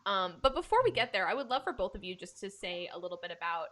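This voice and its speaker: A woman's voice: mid-range voice, animated, fast, fairly bright tone. A woman from the United States hosting an arts podcast in a casual, enthusiastic and effusive chatting style. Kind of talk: casual chat, introducing guests.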